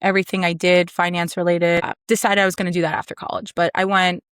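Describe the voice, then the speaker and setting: A woman's voice: fairly low pitch, level tone, fast talker, fairly bright tone, clean tone, no room sound, clean studio sound. A woman in her thirties from Maryland, a podcast guest telling her story in a confident, chatty way. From Maryland, USA. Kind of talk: casual conversation.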